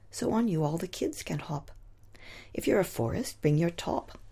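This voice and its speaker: A woman with a low, very husky and breathy voice recites rhymed poetry. She takes it slowly, phrase by phrase, with deliberate weight on each short line and a gravelly creak running through. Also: dark tone. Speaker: poet.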